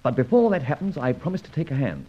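A man acting a suave, self-assured gentleman adventurer in an old-time radio drama, breezy and urbane in his old-fashioned phrasing. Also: medium pitch, big pitch swings, quick pace, breathy edge. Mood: brisk, determined. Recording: dull, lo-fi old radio recording.

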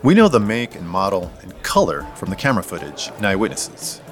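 An actor playing a matter-of-fact police detective in an audio drama, asking direct questions in a dry, natural way. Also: male voice, deep voice, huge pitch swings, a little fast, soft background music. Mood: probing, matter-of-fact.

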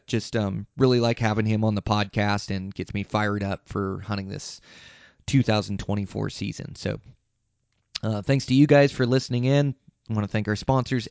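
Low-voiced American man, tired podcast host. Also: darkish tone, clear, resonant tone. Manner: rambling, informal, hesitant phrasing. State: slightly hoarse, tired. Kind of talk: casual podcast outro monologue. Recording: echoey room, slightly dull sound.

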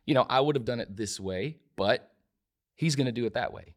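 A man's voice: mid-range voice, fairly quick. A man of forty in a casual interview, talking emphatically and repeating phrases, with frequent restarts. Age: 40s. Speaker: podcast guest.

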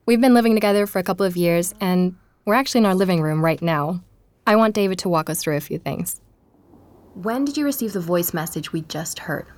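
A woman's voice: on the low side, animated, a little fast, darkish tone, close to an everyday voice. An actress playing an inquisitive young journalist, probing and composed as she interviews and narrates in an audio drama podcast. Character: inquisitive young woman journalist investigating a disappearance.

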